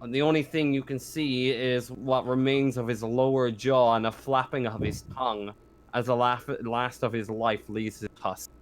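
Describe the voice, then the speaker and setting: A man's voice: mid-range voice, level tone, normal speed. A man working as game master, narrating a tabletop roleplaying game in vivid description mixed with informal rules talk.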